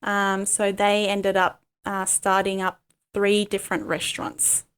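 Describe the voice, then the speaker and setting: Halting, reflective woman. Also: mid-range voice, completely flat delivery, fairly bright tone, clear, resonant tone. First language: Cantonese. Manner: halting, many pauses and ums, reflective. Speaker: guest, life coach. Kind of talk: candid personal interview.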